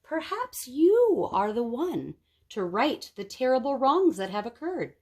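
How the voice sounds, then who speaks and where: A woman's voice: sits high, very animated, a little slow, a little mellow, voice pushed up, heavily acted. A woman voicing a kindly, grave old woman in a storybook, speaking in a solemn, dramatic way. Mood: sorrowful.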